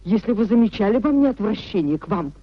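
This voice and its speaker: An actress in a 1950s Russian radio play as a nobleman's dignified, wronged wife, speaking in a measured, theatrical way. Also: mid-range voice, lively intonation, dry recording, close to an everyday voice. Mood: reproachful. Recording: dull, muffled 1950s radio recording.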